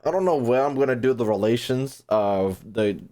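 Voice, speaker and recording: A man's voice: medium pitch, normal speed, warm tone. A young man giving casual live-stream commentary on a game, brash, slangy and profane, often repeating himself.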